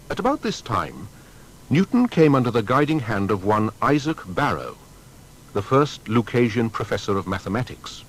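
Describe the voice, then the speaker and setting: A man narrating a scripted documentary biography in a formal, measured storytelling manner. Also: lowish voice, husky, poor audio.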